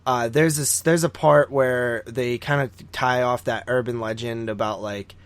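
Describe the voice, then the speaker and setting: A man's voice: medium pitch, steady tone, a little slow, clear, resonant tone. A man hosting a horror podcast, reviewing films in casual, slangy chat with plenty of swearing. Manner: slangy, swearing, frequent "like" and "dude". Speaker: horror film podcast host.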